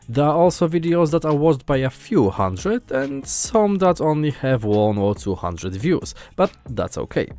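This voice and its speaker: A man's voice: medium pitch, darkish tone. A young man from Poland with a Polish accent, earnestly narrating a scripted gaming video as host.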